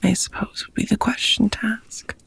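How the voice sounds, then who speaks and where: A woman playing an affectionate, languid female vampire lover in an ASMR romantic roleplay, speaking softly in breathy whispers with slightly formal phrasing, close to the microphone. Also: fairly high pitch, fairly even intonation, moderate pace, no echo, heavily acted. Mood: gentle, curious. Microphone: close microphone, dry.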